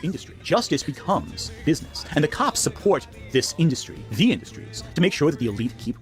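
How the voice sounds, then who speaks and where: A man's voice: on the high side, big pitch swings, a little fast, faint music behind. A man reading scripted video essay narration.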